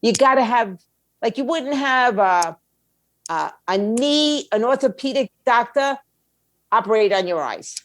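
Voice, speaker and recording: A woman's voice: on the high side, animated, unhurried, no room sound. A woman giving blunt, opinionated conversational answers as an interview guest, in short emphatic statements. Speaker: guest, music label owner and attorney.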